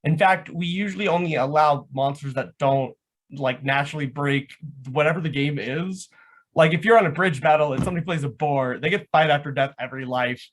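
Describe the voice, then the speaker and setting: A man's voice: on the high side, a little fast, low-quality recording. A man in a casual unscripted discussion, clarifying points in a matter-of-fact way.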